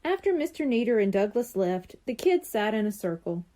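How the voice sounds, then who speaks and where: Mellow, lively woman reading a children's story. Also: medium pitch, moderate pace. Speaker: audiobook narrator. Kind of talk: scripted children's story narration.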